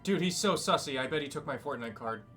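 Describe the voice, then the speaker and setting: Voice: medium pitch, expressive, quick pace, music in the background. A game streamer giving casual, joking, irreverent playthrough commentary with slangy sarcasm.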